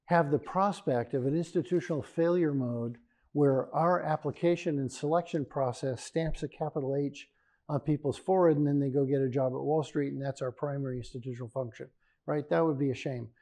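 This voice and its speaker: An older professor answering audience questions in a large lecture hall, often ending points with a quick "right?". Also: male voice, fairly high pitch, mellow. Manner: ends points with a tag "right?". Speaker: keynote speaker, professor.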